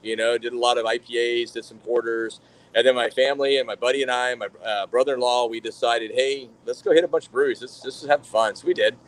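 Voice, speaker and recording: A man's voice: medium pitch, fairly even intonation, quick pace, low-quality recording. Easygoing rambling brewer telling his story. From Florida. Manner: rambling storyteller with frequent fillers and restarts. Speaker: brewery general manager and brewer. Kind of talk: casual podcast interview.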